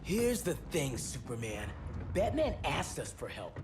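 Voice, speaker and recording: A man's voice: on the high side, big pitch swings, measured pace, background noise. A young man acting a brash, cocky but earnest superhero in a video game cutscene. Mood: cocky, defiant. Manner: cocky, quippy, colloquial. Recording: loud cinematic music behind.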